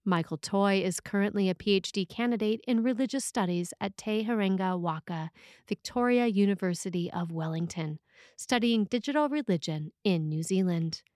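A woman priest reading a scripted sermon aloud in a measured, expressive way with deliberate phrasing. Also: mid-range voice, dry recording, clean studio sound.

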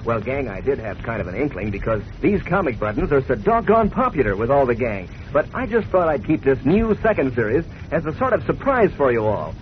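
A man's voice: medium pitch, very animated, a little fast, few pauses. A man delivering a 1940s radio advertisement in a chummy, folksy, enthusiastic way. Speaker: sponsor pitchman. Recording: muffled old radio recording, faint noise.

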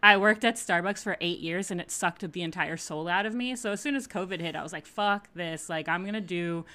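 Blunt woman with bright, lowish voice. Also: fairly quick. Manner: blunt, profane slang. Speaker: illustrator and small brand owner on a podcast. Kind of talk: casual chat.

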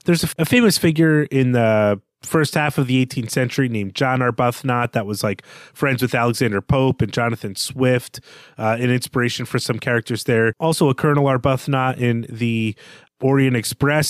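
A man's voice: medium pitch, normal speed, dry recording. Man, book podcast co-host, mid-range voice, dry close mic, thoughtful and reflective. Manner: reads aloud from the novel, then muses over names. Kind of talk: literary discussion podcast.

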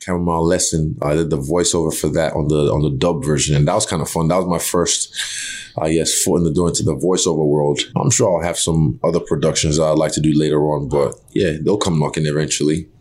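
A man with an extremely deep voice whose first language is Yoruba talks as a guest in a casual interview. He speaks with intensity and emphasis and swears loosely. He sounds like a fighter in his thirties. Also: fairly even intonation, brisk, darkish tone.

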